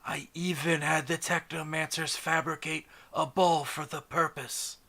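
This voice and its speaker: Man voicing a measured, courteous robot warrior. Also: fairly high pitch, level tone, gravelly, pushed and bright, exaggerated voice. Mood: measured, inviting. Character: menacing mechanical robot man offering protection and games.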